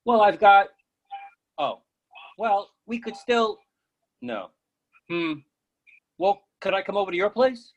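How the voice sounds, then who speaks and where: A man's voice: sits high, expressive, slow, long pauses, muffled recording, exaggerated voice. A man acting an eager, oblivious friend with an insistent, earnest manner in a readers theatre comedy. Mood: hopeful. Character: eager, oblivious male friend pushing food, stubbornly cheerful.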